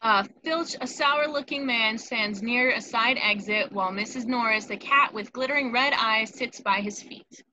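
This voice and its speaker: A woman's voice: fairly high pitch, moderate pace, few pauses, bright, forceful tone, lo-fi sound. A woman narrator reading out scripted screen directions for a table read.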